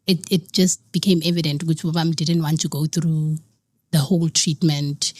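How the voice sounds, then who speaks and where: A woman's voice: deep voice, little pitch movement, normal speed, a little mellow, no echo. A young South African woman telling a personal story in an interview, earnest, slipping Zulu phrases into her English. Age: young adult. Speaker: guest.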